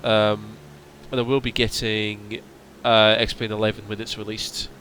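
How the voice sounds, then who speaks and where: A man streaming a flight simulator, chatting casually and answering viewers' questions while his voice starts to strain and go hoarse. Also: on the low side, level tone, measured pace, sharp tone, a little hiss or hum. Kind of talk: casual livestream chat.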